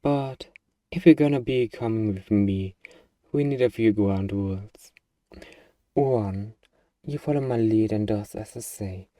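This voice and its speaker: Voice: medium pitch, dark tone, dry recording, distant mic, natural voice. A performer playing a hardened, sardonic, swaggering killer who survives a zombie apocalypse, in an ASMR audio roleplay. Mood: firm, commanding. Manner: sarcastic, casual, swaggering.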